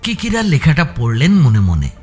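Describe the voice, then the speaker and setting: A man's voice: a little high, wildly swooping pitch, normal speed, darkish tone, faint music behind. A man reading scripted story narration as an audiobook narrator.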